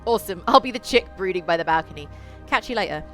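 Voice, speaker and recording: A woman's voice: fairly low pitch, animated, fast, fairly bright tone. A woman voicing a breezy female childhood friend in a visual novel, playful, familiar and wry. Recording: music behind the voice.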